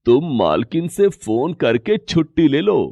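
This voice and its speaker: A man plays a poor working father from a moral tale, tired and caring. He advises earnestly in a slow delivery with big swings of pitch, on the higher side for a man. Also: very animated, gravelly, warm tone, no room sound, clean studio sound, exaggerated voice. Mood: concerned. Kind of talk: moral story character acting.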